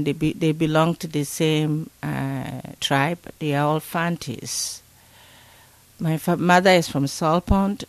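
An older woman from Ghana speaks slowly and thoughtfully, often pausing. Her voice has a gravelly edge. The sound is dry and close. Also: sits low, dry recording. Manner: slow, thoughtful, many pauses and fillers. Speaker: interview guest. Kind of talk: interview, recalling childhood.

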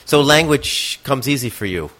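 A man's voice: medium pitch, lively intonation, moderate pace, a bit bright. A man interviewing a guest over a video call, asking brief, plain follow-up questions.

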